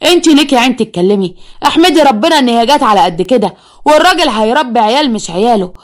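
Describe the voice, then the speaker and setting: A woman's voice: expressive, quick pace, close to an everyday voice. A woman with an Egyptian accent voicing a harsh middle-aged mother who scolds and curses her daughter. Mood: furious. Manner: shrill, cursing, scolding.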